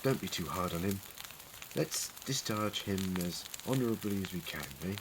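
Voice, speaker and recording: A man acting as an eccentric, dignified male time-traveller in an audio drama. He is wry and wordy, with lively swoops in pitch and clear noisy ambience behind him. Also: moderate pace. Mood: sombre, reflective. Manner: wry, formal, wordy, gently authoritative. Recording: prominent crackling ambience noise.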